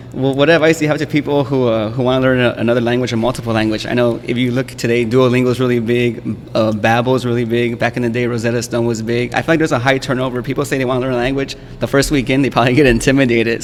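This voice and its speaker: A man hosting a podcast, asking his guest questions. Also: medium pitch. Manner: quick, even-toned questions. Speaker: podcast host. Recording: room with long reverb, faint noise.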